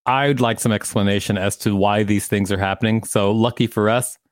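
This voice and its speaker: A man's voice: lowish voice, fairly even intonation, a little fast. A man co-hosting a podcast, reading an article aloud and adding casual asides. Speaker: podcast co-host.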